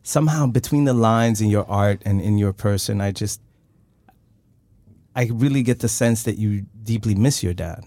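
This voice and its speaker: A man from Sweden hosts a relaxed conversation, his voice fairly low. He pauses often and searches for the right words. The recording is dry and close. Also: dry recording. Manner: thoughtful, searching for words with many fillers. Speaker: host. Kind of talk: conversational interview.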